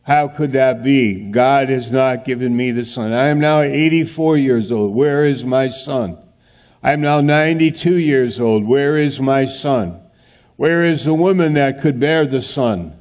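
A man's voice: medium pitch, measured pace, darkish tone, lightly acted. A man preaching voices an aged patriarch who pleads to know where his promised son is. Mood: anguished. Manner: pleading, questioning aloud.

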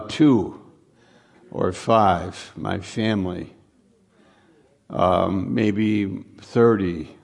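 A male pastor speaking informally to his congregation, halting and repeating words as he goes, often putting questions to them. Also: fairly low pitch, creaky. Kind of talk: informal sermon, welcoming guests to congregation. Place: live church service.

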